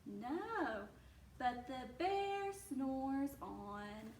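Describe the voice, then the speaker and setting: A woman reading a story aloud to young children like a teacher, expressively, pausing to explain and ask questions. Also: high-pitched. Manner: expressive, slow, explaining as she reads. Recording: roomy, distant mic, faint noise.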